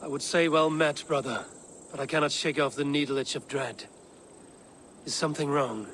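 A man's voice: fairly high pitch, steady tone, normal speed, noisy background, natural voice. Blunt, impatient warrior played by a man. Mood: brooding. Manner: blunt, brooding. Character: blunt, impatient medieval warrior seeking a brother. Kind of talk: dramatic video game acting.

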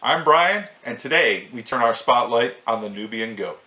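A middle-aged man presents to camera in a clear, measured tone, like a host of a scripted guide. His voice sits at an ordinary pitch for a man. The room around him adds noticeable echo. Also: expressive, bright, forceful tone. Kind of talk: scripted farm-animal presenter talk.

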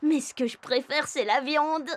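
A woman's voice: high-pitched, moderate pace, close to an everyday voice. A woman voicing a cheerful, giggly young female dog who hosts a cartoon. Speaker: host of the cartoon. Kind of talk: French cartoon dubbing. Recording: music behind.

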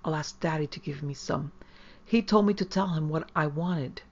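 A woman's voice: deep voice, normal speed, highs cut off. A woman voicing an anxious young girl in a storybook, querulous and imploring. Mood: coaxing. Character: anxious, pleading young girl, gentle but fretful.

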